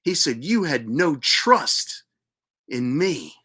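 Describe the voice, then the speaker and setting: A man earnestly telling a personal spiritual story as host, repeating himself for emphasis and sometimes dropping to a hushed voice. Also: a little high, very animated, slow pace, slightly rough. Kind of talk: personal testimony monologue.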